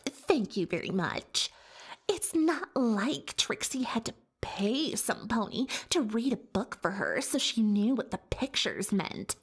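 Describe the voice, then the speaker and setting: A woman's voice: on the high side, slightly rough, heavily acted. A woman playing a pompous, defensive unicorn pony magician turned librarian in an ASMR roleplay, hesitant when cornered. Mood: indignant, defensive.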